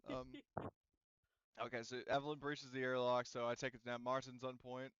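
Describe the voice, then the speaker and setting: Smooth-voiced man running a tabletop game. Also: medium pitch, level tone, a bit bright, dry recording, highs cut off. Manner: rambling, halting, peppered with filler phrases. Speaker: game master. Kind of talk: casual tabletop game narration and table talk.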